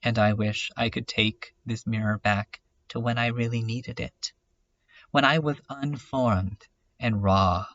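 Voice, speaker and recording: A man playing a brooding, self-critical dreamer in a contemplative, halting monologue for a webcomic fan dub. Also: medium pitch, fairly bright tone, lo-fi sound. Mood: wistful, wounded.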